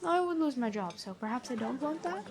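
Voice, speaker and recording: A woman playing a stern but kind, noble-born prison guard in a fantasy ASMR roleplay, talking in a measured, conversational way. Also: high voice, animated, crowd noise. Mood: curious, friendly.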